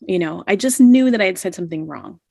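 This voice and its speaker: A woman's voice: on the low side, expressive, fast talker, vocal fry, darkish tone, no room sound, studio quality. A middle-aged woman telling a personal story as a podcast guest, laughing often and filling with "you know" and "like". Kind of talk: casual storytelling in an interview.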